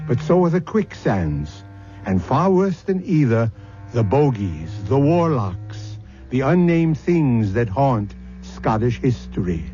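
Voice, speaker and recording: A man hosting an old radio mystery show, reading scripted narration in a deliberate, theatrical, ominous manner. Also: medium pitch, mellow. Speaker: host and announcer. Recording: dull old radio, music behind.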